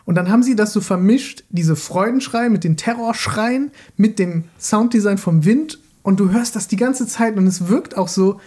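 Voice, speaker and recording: Podcast panelist, man, soft mellow tone, relaxed conversational film talk. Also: high-pitched, normal speed, few pauses. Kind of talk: casual film chat.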